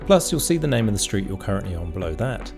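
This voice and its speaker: A man reading scripted instructional narration in a measured, explanatory way, as a tutorial narrator. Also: lowish voice, big pitch swings, gravelly, darkish tone. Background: background music.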